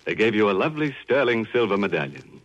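A chuckling radio horror host. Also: male voice, fairly low pitch, sing-song, fast. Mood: mocking. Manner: macabre puns, mocking chuckles. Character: ghoulish joking male horror host. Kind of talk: 1940s radio acting. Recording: dull 1940s radio broadcast.

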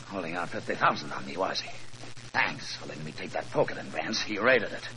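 An actor playing a tough, scheming gangster boss in slangy, hard-boiled 1940s radio crime style. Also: male voice, low-pitched, big pitch swings, brisk. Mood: angry, suspicious. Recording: noisy 1940s radio recording.

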